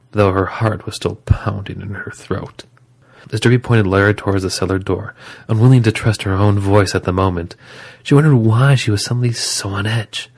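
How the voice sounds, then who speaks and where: A man's voice: on the low side, husky. A man reading scripted story narration as the narrator of an audio drama.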